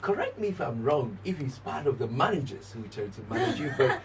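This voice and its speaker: A man's voice: medium pitch, a little fast. A man from Ghana with a Ghanaian accent hosting a radio interview, teasing his guest playfully and speaking to the listeners. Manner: animated, repeats "listen", laughs often, addresses the audience. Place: radio studio. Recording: distant mic with faint background noise.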